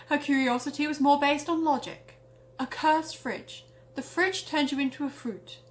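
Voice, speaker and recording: A woman's voice: high voice, poor audio. A woman reading her own parody tale aloud with a theatrical storytelling delivery. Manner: theatrical, exaggerated delivery when narrating.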